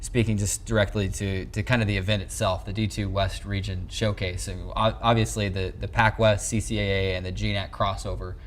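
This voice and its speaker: An American man in his twenties with a fairly low, flat-toned voice hosts a sports interview. He talks conversationally in long, wordy lead-ins. There is some background noise on the recording. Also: a little fast, few pauses. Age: 20s. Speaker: sports show host, interviewer. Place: small indoor locker-room set. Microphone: lapel mic.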